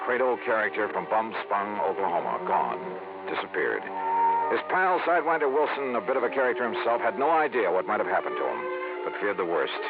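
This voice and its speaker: An actor playing a hardboiled insurance investigator who narrates and talks in a brisk, matter-of-fact way. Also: male voice, fairly low pitch, big pitch swings, vocal fry, phone-quality sound, loud music behind the voice. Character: hardboiled male insurance investigator, adult, level-headed. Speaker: narrator and lead. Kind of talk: 1950s radio acting. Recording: scratchy old radio broadcast with heavy hiss.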